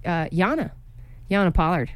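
A woman with a slightly low voice co-hosts a relaxed radio chat from the studio. She talks freely and answers her partner's jokes with wry comebacks. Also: clean tone. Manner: chatty, wry, quick to tease back. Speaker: radio show co-host. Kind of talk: casual chat on local radio.

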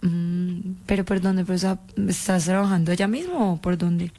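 A woman with a lowish paisa accent talks down a landline phone. she's coy and insistent, teasing the man on the other end to guess who she is and never quite giving herself away. her phrasing is playful and persistent. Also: normal speed, warm tone. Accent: Colombian paisa. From Antioquia, Colombia. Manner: coy, insistent, keeps her identity a mystery. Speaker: caller.

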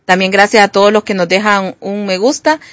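A woman's voice: mid-range voice, steady tone, a little fast, no room sound. A woman with a Honduran Spanish accent co-hosting a radio show, reading listener messages and greetings in a friendly way. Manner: friendly, reads greetings and questions.

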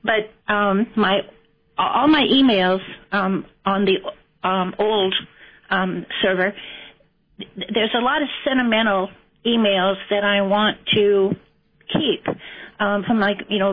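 A woman's voice: medium pitch, steady tone, a little slow. An older woman calling into a radio show, hesitant with many ums as she asks her question. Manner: hesitant, lots of ums, sentimental. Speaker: caller. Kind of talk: phone-in question. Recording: dull lo-fi call audio.

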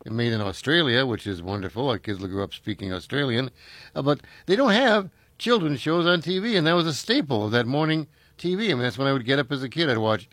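A man's voice: medium pitch, animated, brisk, a bit bright, no echo. An older man, hosting a casual chat and reminiscing in a rambling way, full of fillers and restarts.

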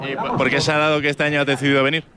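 A man working as a street reporter, interviewing people at an outdoor festival with loud music going on, talking hesitantly with many restarts. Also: a little high, little pitch movement, rapid-fire, over a noisy crowd. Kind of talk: on-location radio interviews.

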